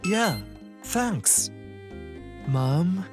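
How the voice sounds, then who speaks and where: A woman voicing a sweet, timid young boy with a soft, hesitant manner in a manga dub. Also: on the low side, expressive, extremely slow, over music. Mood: shy, grateful.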